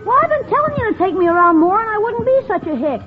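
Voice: very high voice, very animated, fast, over music. An actor playing a cheeky, eager young nephew in classic radio comedy, blurting out wisecracks and questions. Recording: narrow-band vintage radio recording.

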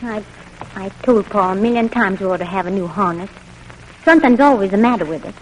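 A proud young woman played by an actress in a vintage radio drama. She is haughty and prickly, with a medium-pitched voice and drawl, over faint recording noise. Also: a little fast, natural voice, low-quality recording. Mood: flustered. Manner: haughty, indignant. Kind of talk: old-time radio drama acting.

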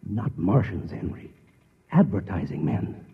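Thin, echoing, intense radio-drama hero. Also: male voice, deep voice, very animated, measured pace, husky, narrow, tinny audio, exaggerated voice. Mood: urgent explaining. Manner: intense, insistent. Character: urgent, determined man uncovering a sinister conspiracy. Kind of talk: old-time radio drama acting. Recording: very thin old radio recording, long reverb.

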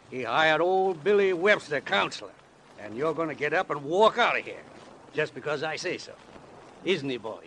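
An actor in an old-time radio drama playing a breezy, cocky lawyer with a theatrical, folksy, gloating manner. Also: male voice, on the high side, a bit bright. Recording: faintly noisy vintage radio recording.

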